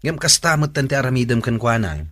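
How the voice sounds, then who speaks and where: An actor playing a concerned, admonishing young friend in a Filipino radio drama, speaking earnestly with a Filipino accent. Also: male voice, mid-range voice, fast, sharp tone, no room sound, clean studio sound. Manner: earnest, reproving. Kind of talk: Philippine radio drama acting.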